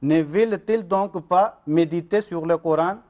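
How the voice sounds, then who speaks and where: A man, speaking in a fairly high voice. He argues insistently as an interview guest, circling back to check that he is understood. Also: a little slow, a little mellow. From Pakistan. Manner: insistent, repeats "vous comprenez?". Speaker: Ahmadi missionary guest. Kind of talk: religious interview argument.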